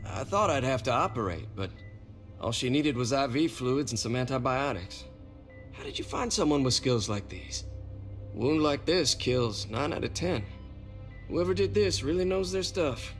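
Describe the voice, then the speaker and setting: A man's voice: mid-range voice, lively intonation, normal speed, faint music behind, lightly acted. A man playing an educated doctor in a video game drama, speaking in an articulate, formal manner. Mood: impressed.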